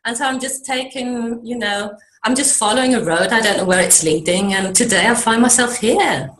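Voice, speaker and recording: A middle-aged woman from Denmark, a guest in a video interview, speaking softly and reflectively and often trailing off quietly. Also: fairly high pitch, moderate pace. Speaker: guest, creative mentor. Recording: echoey room sound.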